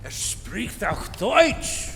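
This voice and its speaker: Slow, sneering German villain. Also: male voice, fairly high pitch, full character voice. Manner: mocking, theatrical, drawn-out delivery. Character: sneering, cultured Nazi officer villain, coldly amused. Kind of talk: cinematic video game acting. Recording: loud rain and ambience over voice.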